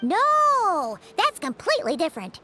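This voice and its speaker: A woman's voice: extremely high pitch, huge pitch swings, measured pace, bright, forceful tone, soft background music, full character voice. A woman voicing a tiny, chirpy female fairy companion who explains things eagerly and chatters on. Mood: explaining. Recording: clear background noise.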